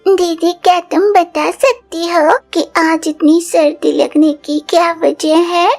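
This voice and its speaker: A woman voicing the youngest bird daughter in a cartoon, gently reasoning with her older sister. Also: very high voice, steady tone, slow pace, creaky, heavily acted. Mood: gentle.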